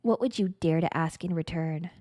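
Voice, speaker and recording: A woman's voice: lowish voice, normal speed, dark tone, no room sound, studio quality, close to an everyday voice. A woman voicing a haughty, menacing undead male warden with a sinister, condescending, hissing manner in a story reading. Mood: sly, haughty.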